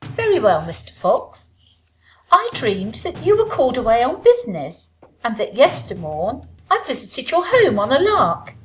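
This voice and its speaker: A woman playing a bold young gentlewoman in a folk tale, speaking in formal, theatrical, old-fashioned diction. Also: fairly high pitch, wildly swooping pitch, measured pace, slight background noise, poor audio. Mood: pointed, suspenseful. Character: bold young gentlewoman bride recounting a sinister dream.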